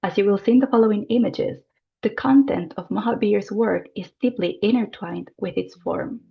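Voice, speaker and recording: A woman presenting an academic paper in a formal, measured reading style. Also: mid-range voice, vocal fry, muffled recording. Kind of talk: reading a prepared conference paper.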